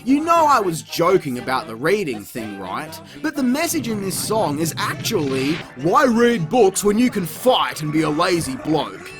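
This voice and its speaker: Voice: mid-range voice, big pitch swings, normal speed, few pauses, over music. A reviewer giving scripted comedic narration in a sarcastic, exasperated, sweary and theatrical manner. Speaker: YouTube video reviewer.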